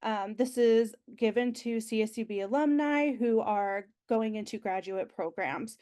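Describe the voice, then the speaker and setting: A young woman with a slightly higher voice talks through an orientation presentation, chatty and enthusiastic. Her voice sounds nasal as she gets over an illness, and she fills gaps with ums and likes. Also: unhurried, mellow. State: recovering from being sick. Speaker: university alumni relations staff presenter.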